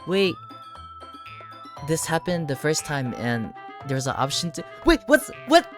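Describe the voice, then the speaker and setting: Female let's-player, naturally very deep register, excitable exclamatory commentary over soft game music. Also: unhurried. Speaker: gaming YouTuber. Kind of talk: let's play commentary and narration.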